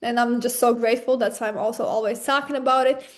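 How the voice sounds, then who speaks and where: A woman's voice: a little high, steady tone, fast talker. A woman hosting an online webinar, upbeat and grateful as she introduces the next speaker. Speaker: webinar host. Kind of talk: enthusiastic online presentation.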